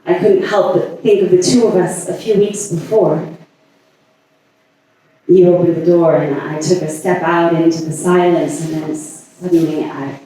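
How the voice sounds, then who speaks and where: A man performing a staged monologue as a lovelorn woman haunted by obsessive passion, halting and deliberate with long pauses. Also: sits high, mellow. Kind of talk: staged dramatic monologue reading. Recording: echoey, distant stage recording.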